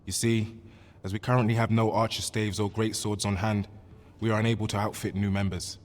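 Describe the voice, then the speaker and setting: An actor playing a courteous guild clerk in a fantasy game, speaking formally and apologetically in old-fashioned phrasing. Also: male voice, on the low side, level tone, brisk, close to an everyday voice. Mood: apologetic, formal. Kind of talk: scripted fantasy game dialogue. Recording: long hall reverb, noisy ambience behind.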